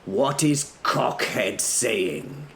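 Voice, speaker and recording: Man playing a dry, mock-polite, deliberate knight. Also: medium pitch, animated, fairly bright tone, a little hiss or hum, voice pushed up. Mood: feigned confusion. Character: dry, unruffled knight named William, feigning confusion.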